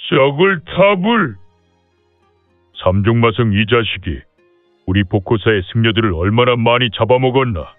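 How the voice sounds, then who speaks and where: A man's voice: medium pitch, animated, moderate pace, dry recording, pitched below the performer's own voice, lightly acted. A man voicing an old, shrewd Buddhist temple abbot who mutters and chuckles while watching a duel in an audio drama. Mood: indignant. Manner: chuckling "heh heh", muttering asides. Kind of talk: audio drama character acting. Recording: tinny phone-like dry sound, faint music.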